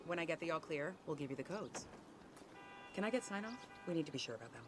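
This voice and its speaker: A guarded underworld contact in a crime game. Her voice is lowish but swings in pitch as she probes warily, with music clearly underneath. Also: lively intonation, quick pace. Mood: wary, probing. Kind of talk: video game cutscene acting.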